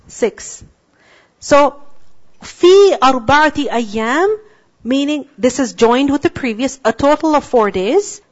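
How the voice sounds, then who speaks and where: A woman teaching a Quran lesson, asking her listeners questions and answering them herself, with drawn-out Arabic recitation. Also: high-pitched. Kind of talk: Quran tafsir lecture. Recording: dull lo-fi recording.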